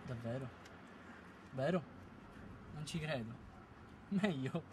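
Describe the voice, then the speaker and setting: A man's voice: mid-range voice, expressive, takes it slowly. Blunt young man on a noisy street. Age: young adult. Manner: blunt, opinionated. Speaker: passer-by. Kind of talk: street vox pop. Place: city street outdoors. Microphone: handheld reporter mic. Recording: street noise outdoors.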